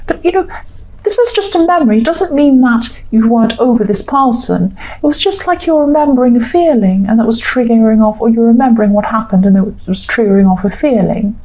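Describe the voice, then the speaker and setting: A woman giving a tarot reading in a gentle, reassuring, rambling way, her voice a little croaky. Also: on the high side, low-quality recording. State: slightly croaky, clearing throat. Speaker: tarot reader.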